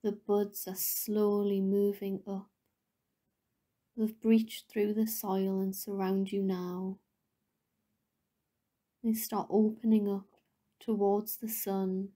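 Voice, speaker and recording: A woman leading a guided meditation in a soothing, gentle, unhurried way, softly spoken with breathy phrase endings. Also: medium pitch, flat delivery.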